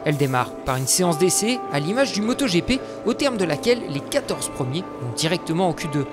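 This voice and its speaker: A man narrating a motorsport documentary in a steady, scripted voice-over. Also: a little high, a little fast. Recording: voice-over with music underneath.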